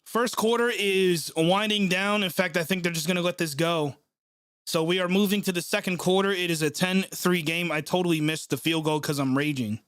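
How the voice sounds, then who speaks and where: A man's voice: sits high, normal speed, a bit bright, smooth, dry recording. A young man hosting a live sports reaction stream, stuffed up from a cold, reading chat and venting with sarcasm and swearing. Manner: frustrated, sarcastic, swears often.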